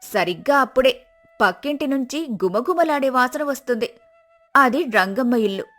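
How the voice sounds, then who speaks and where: A woman reading a folk story as narrator, steady and storytelling. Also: high-pitched, moderate pace, soft background music.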